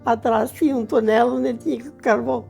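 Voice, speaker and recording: A woman's voice: on the high side, steady tone, moderate pace, creaky, darkish tone, faint music behind. A woman in her nineties in a documentary interview, telling her childhood memories plainly, step by step. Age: 90s.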